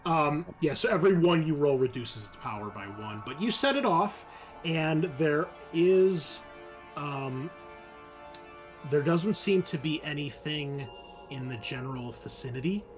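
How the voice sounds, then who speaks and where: A man's voice: on the high side, lively intonation, unhurried. Man running a tabletop game over music. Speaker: game master. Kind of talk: casual narrating of a tabletop game. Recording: music playing behind the voice.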